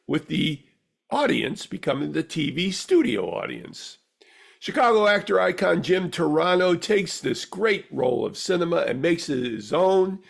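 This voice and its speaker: A man with a gravelly, husky voice reading a scripted audio theater review as the critic. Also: a little high.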